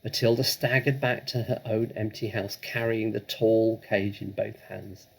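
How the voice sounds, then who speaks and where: Male storybook narrator: strongly raspy, gravelly, expressive, dramatic read. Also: fairly low pitch, a little slow, low-quality recording.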